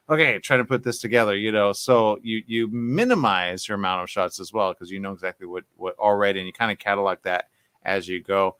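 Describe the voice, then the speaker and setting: Smooth-voiced upbeat male interviewer. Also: on the low side, fairly bright tone, dry recording. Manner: upbeat, encouraging, rambling questions. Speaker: interview host. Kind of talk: entertainment interview.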